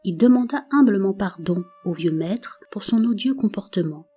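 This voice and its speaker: A woman narrating a folk tale in a calm, measured storybook reading with soft phrase endings. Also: medium pitch, breathy edge. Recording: narration over faint background music.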